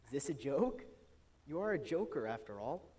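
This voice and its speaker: A man's voice: a little high, sing-song, moderate pace, a little mellow, slightly rough. A man playing an officious royal court official in a stage comedy, formal and orderly. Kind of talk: stage comedy fairy-tale acting.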